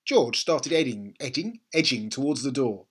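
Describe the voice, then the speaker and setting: A reader narrating a children's story in an expressive, dramatic and suspenseful style. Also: mid-range voice, normal speed, husky.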